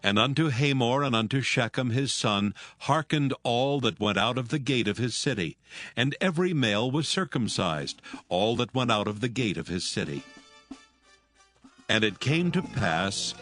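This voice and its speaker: A man narrates scripture from a script in a measured, solemn way. His pitch is average for a man, and music plays clearly behind him.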